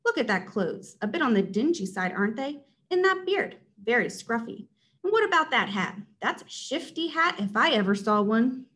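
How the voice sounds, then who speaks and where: A woman's voice: fairly high pitch, big pitch swings, moderate pace, fairly bright tone. A woman voicing a pompous male supervillain who accuses people theatrically, in a children's storybook reading. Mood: accusing, theatrical. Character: pompous booming male supervillain bullying shoppers.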